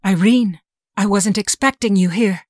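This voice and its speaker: A woman's voice: mid-range voice, measured pace, no room sound, lightly acted. Actress playing a commanding, defiant shapeshifter woman, dry close recording. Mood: brooding, calculating. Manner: forceful, sharp-tongued, coldly calculating. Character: commanding, defiant shapeshifting mutant schemer posing as government doctor. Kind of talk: audio drama acting.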